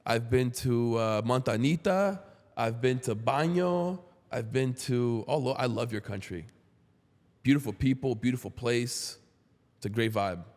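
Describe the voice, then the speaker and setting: A man's voice: mid-range voice, a little slow, a little mellow. A man in his thirties from Brazil who grew up in Queens, hosting a casual podcast interview. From Brazil, moved to Queens, New York at seven. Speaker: podcast host. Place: indoor podcast studio. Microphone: close boom-arm studio microphone. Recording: roomy studio with long reverb.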